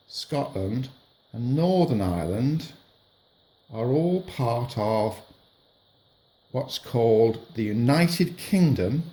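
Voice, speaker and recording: A middle-aged man, patiently explaining a lesson to young children while he marks up a map. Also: mid-range voice. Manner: patient, gentle, narrates while drawing on a map.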